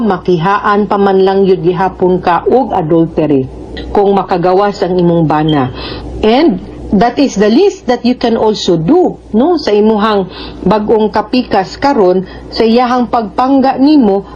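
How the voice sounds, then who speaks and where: A woman's voice: medium pitch, darkish tone. A woman with a Cebuano Filipino accent gives conversational legal advice as a radio host, speaking frankly and admonishing with frequent tag questions. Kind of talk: conversational legal advice to a letter sender and listeners. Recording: muffled audio with clear background noise.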